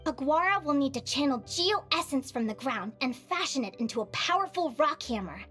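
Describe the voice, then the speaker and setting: A woman voicing a cheerful, encouraging young female adventurer in a video game, with a perky, expressive delivery. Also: high-pitched, fairly quick, soft background music. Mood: instructive. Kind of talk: animated video game voice acting.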